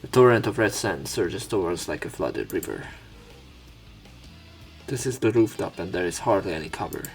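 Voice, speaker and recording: A low-voiced man with a creaky, gravelly edge reads a visual novel aloud as a let's play host. His delivery moves between dramatic narration and casual commentary, with faint game music underneath. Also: animated, normal speed. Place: voice-over on game footage.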